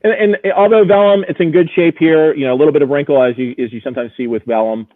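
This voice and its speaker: A man presenting auction lots in an informal live preview over a video call, enthusiastic and detailed, often pausing to find his phrasing. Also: a little high, fairly even intonation, no room sound, phone-quality sound.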